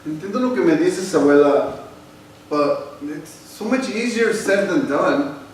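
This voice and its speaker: A man's voice: fairly high pitch, expressive, a little slow. A man playing an upset, passionate young Mexican American grandson in a staged reading, mixing English and Spanish. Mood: troubled, resolute. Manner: impassioned, mixes English and Spanish. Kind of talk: staged reading, emphatic theatrical delivery. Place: theater stage, recorded from the audience. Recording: echoey hall from far off, faint noise.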